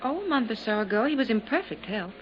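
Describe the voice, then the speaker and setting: An actress playing a cool, composed widow. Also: female voice, on the high side, animated, quick pace, natural voice. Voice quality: cool, unhesitating answers. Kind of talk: 1950s radio drama acting. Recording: dull lo-fi old radio with faint noise.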